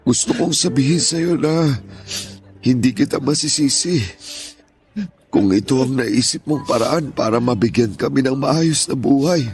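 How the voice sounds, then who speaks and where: A man playing a loving, remorseful elderly father in a Tagalog radio drama, with a Filipino accent and a gentle, emotional, pleading delivery. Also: medium pitch, measured pace, close to an everyday voice.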